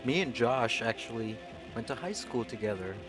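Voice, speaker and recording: Easygoing drummer with music behind. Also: male voice, mid-range voice, animated, normal speed, poor audio. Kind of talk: casual interview answers. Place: music club.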